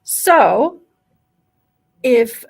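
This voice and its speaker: A woman's voice: fairly high pitch, big pitch swings, very slow, vocal fry, slightly rough. Informal, encouraging woman hosting a chat. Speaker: podcast host. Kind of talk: casual interview chat.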